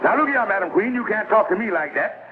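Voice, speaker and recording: Casting: meddling, blustering pal, high and swooping, old TV comedy with tinny sound. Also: male voice, expressive, fast. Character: blustering, meddling middle-aged schemer friend. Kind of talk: 1950s television sitcom acting. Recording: very noisy, tinny vintage TV soundtrack.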